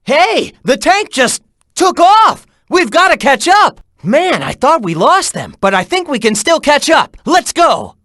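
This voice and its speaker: An actor voicing a cocky, upbeat teenage hedgehog hero in a video game, cheeky and encouraging. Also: male voice, very high voice, a little slow, dry recording, studio quality, full character voice. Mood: excited. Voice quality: youthful, bright, energetic. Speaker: video game character voice clips. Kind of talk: game voice acting, mission hints. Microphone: dry studio mic.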